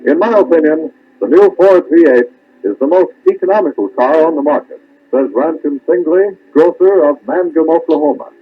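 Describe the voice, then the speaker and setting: A man's voice: a little high, expressive, normal speed, breathy edge. A male announcer delivering a scripted 1930s radio introduction and sponsor message. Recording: dull, lo-fi 1930s radio recording.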